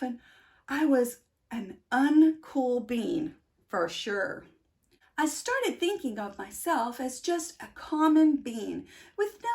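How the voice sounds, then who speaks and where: An awkward, self-doubting storybook bean, played by a woman, tells its tale in a high voice. It hesitates and stammers, shyly running itself down. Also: heavily acted. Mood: sheepish.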